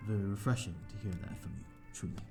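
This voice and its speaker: A man's voice: lowish voice, fast, vocal fry, over music. A man playing a courteous, lonely vampire of an old manor in an ASMR audio roleplay, speaking in hesitant, formal, old-fashioned phrases. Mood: modest, bashful. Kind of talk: slow ASMR audio roleplay, addressing the listener.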